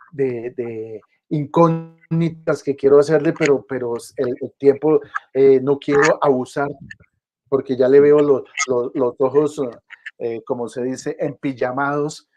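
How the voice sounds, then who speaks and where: Male interview host on a video call, effusive, halting delivery. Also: medium pitch, mellow, lo-fi sound. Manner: effusive, halting with repeated words.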